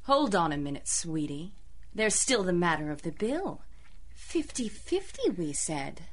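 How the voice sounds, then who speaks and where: Sardonic, slow, low-voiced villainess. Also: female voice, sing-song. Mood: cocky, taunting. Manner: sardonic, drawling. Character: cocky, cold-hearted female criminal with special powers. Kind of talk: radio drama acting.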